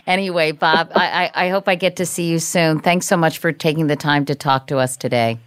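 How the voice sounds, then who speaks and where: A woman's voice: low-pitched, fairly even intonation, brisk, clean tone, dry recording, clean studio sound. A woman in her fifties hosting a podcast, chatty and self-deprecating. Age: 50s. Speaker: podcast host. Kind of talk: casual chat.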